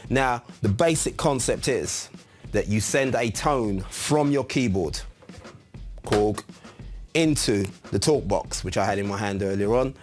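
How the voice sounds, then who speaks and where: A man's voice: lowish voice, a little slow, faint music behind. A man giving an informal, chatty tutorial as a musician, explaining a piece of gear step by step. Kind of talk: casual instructional demonstration.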